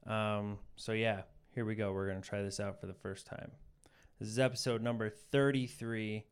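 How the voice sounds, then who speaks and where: A man hosting a podcast, chatting casually with frequent fillers in a relaxed way. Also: fairly low pitch. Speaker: podcast host. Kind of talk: casual interview chat.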